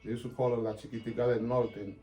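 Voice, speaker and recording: Mellow-voiced New guy in a chat. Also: medium pitch, level tone, racing. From New York. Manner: street slang, mixing in Spanish phrases. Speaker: guest rapper. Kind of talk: casual interview chat.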